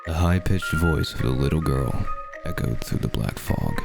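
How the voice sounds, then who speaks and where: A man reads a creepypasta in a very deep voice with a gravelly edge. His delivery is measured and dramatic, built for dread. Dark music plays clearly behind him. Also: expressive. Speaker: horror story narrator. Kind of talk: scripted creepypasta narration in the first person. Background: dark background music.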